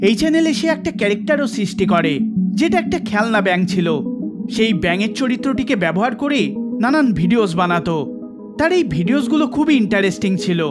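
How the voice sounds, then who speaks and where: A man with a very high-pitched voice delivers scripted documentary narration. He tells the story dramatically, and loud music plays behind him throughout. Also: expressive, fast. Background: loud background music.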